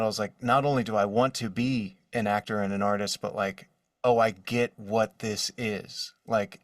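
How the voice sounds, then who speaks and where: A man in his forties speaking casually as an interview guest, telling stories in an animated manner from his studio. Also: fairly low pitch, dry recording, recorded from a distance. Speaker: guest, voice actor. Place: his own voiceover studio.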